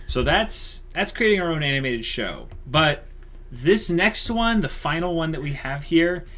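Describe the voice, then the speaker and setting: A man's voice: a little high, animated, a little slow, a bit bright. A man co-hosting an online channel, talking casually and off the cuff in an enthusiastic, emphatic, informal way. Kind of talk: casual unscripted channel update.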